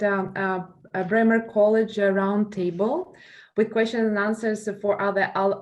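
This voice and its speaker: A woman's voice: medium pitch, dull audio. A woman with a Ukrainian accent moderating a roundtable Q&A, speaking with many fillers and restarts. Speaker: moderator of a school alumni panel. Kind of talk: hosting a roundtable Q&A.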